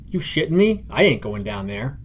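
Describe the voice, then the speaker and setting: A man's voice: mid-range voice, sing-song, moderate pace, slightly rough. A man voicing a small, grumpy male owl familiar in a fantasy story, with a petulant, slangy manner. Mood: petulant, irritated.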